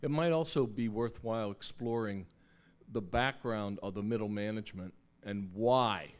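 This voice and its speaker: A man's voice: fairly low pitch, moderate pace, clear, resonant tone. A man who acts, speaking from an audience and giving casual critique. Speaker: audience member and fellow actor giving feedback.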